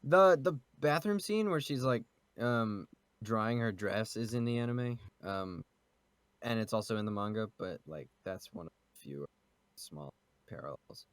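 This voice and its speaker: A man's voice: fairly low pitch, animated, unhurried, dry recording. A man chatting casually as a podcast panelist, rambling and cutting himself off. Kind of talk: casual group discussion.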